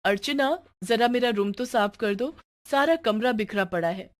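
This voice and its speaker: A woman's voice: a little high, moderate pace, noisy background, lightly acted. Woman playing a sly, whining young daughter-in-law. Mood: indignant whining. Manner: wheedling, self-pitying, dramatic. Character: sly, lazy young elder daughter-in-law feigning illness.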